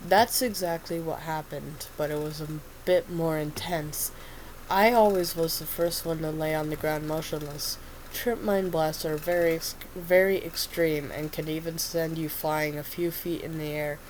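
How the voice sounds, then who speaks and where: A woman's voice: low voice, fairly even intonation, a little slow, darkish tone, a little hiss or hum, rough recording. A woman with a stuffy nose reading the part of a weary male doctor telling his story in first person. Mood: wry.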